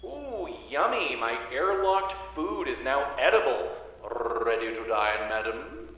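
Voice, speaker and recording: A man's voice: medium pitch, sing-song, slow pace, lo-fi sound. A man playing an earnest, philosophical character in a theatrical drama, speaking in lyrical lines. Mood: playful. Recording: very echoey room, distant mic, faint noise.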